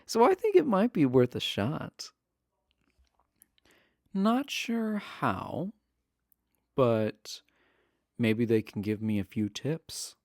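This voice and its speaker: A man's voice: mid-range voice, very animated, takes it slowly, pauses often, darkish tone, no room sound, studio quality. A man playing a charming, sly villain prisoner in an intimate roleplay audio, talking in a coy, flirtatious, casual way. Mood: sly, playful.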